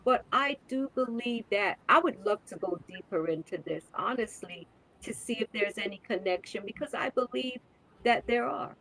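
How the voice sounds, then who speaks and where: A woman's voice: on the high side, normal speed, fairly bright tone, rough recording. A woman hosting a podcast in casual conversation, speaking earnestly and stressing her points, often repeating words as she builds up to them. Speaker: podcast host.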